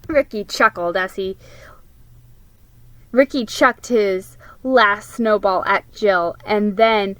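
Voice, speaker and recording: A woman reading a children's story aloud as a dramatic reading, with expressive, emphatic storytelling. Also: mid-range voice, slow pace, no echo. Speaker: storybook reader.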